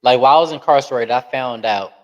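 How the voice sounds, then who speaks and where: A man talks candidly as a guest in a podcast interview. His voice sits in a middle pitch, and he presses his points hard, stretching some words slowly and rushing others. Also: highs cut off. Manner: earnest, emphatic, speeds up and slows down for stress.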